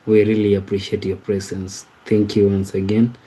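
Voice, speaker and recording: A man from Kenya hosting a casual livestream, reading viewer comments aloud and answering them in a halting way, with frequent repeats and restarts. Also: lowish voice, monotone, warm tone, slightly rough, low-quality recording. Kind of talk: casual live-stream chat.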